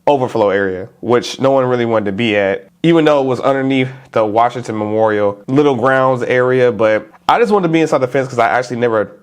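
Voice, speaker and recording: A man with a stuffy nose, talking casually to camera as a vlogger in a relaxed, chatty, informal way. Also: mid-range voice, quick pace, hardly any pauses.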